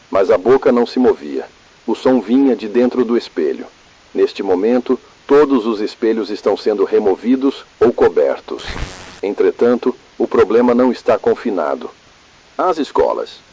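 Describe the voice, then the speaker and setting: A Brazilian man playing a grave, calm, ominous emergency broadcast announcer in a scripted analog horror, husky-voiced, solemn, measured and formal. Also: on the low side, lo-fi sound. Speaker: narrator.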